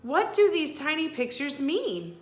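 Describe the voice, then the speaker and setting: A woman's voice: sits high, sing-song, unhurried, roomy sound, pitched above the performer's own voice. A woman voicing an eager, plain-spoken young girl in a storybook, perky and matter-of-fact. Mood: curious.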